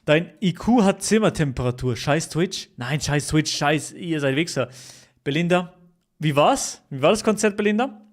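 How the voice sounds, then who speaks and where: A young man with a voice a little higher than most men's. He chats loosely with his stream audience, joking, swearing and repeating himself. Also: expressive, normal speed. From Switzerland. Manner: chatty, jokey, repeats himself, swears at chat. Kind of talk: casual livestream chat with viewers.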